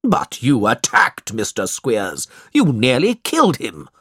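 A man's voice: lowish voice, very animated, a little slow, fairly bright tone, breathy edge, dry recording, studio quality, heavily acted. A man voicing a stern, cold older uncle in a storybook, accusing and commanding. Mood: angry.

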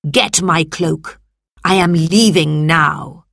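A powerful, pompous Roman senator from a children's storybook, acted out by a woman. He is furious and imperious, barking threats in slow, weighty words. The recording is dry and close. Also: on the low side, animated, dry recording. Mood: commanding.

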